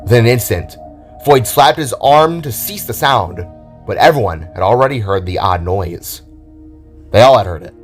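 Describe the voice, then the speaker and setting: A man's voice: fairly low pitch, animated, normal speed. A man reading scripted, dramatic narration for an audio drama, with music behind his voice.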